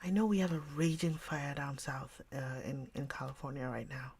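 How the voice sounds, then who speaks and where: Low-voiced chatty woman streamer. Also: normal speed, a little hiss or hum. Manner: chatty, rambling, often drops to a soft whisper. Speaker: livestream host reading books. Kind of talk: casual chat with live viewers.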